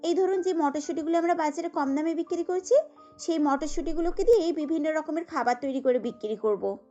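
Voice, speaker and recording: A woman's voice: sits high, brisk, dark tone, lo-fi sound, soft background music, close to an everyday voice. A woman voicing an earnest, eager young daughter-in-law in a cartoon, respectful in manner. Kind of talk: cartoon character acting.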